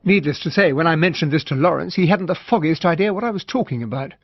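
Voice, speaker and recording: An actor playing a well-bred, earnest English army captain in a radio drama, with a husky voice and an English accent. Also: male voice, a little high, lively intonation, quick pace. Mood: composed narration. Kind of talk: radio drama acting and narration. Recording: muffled, tinny radio drama recording.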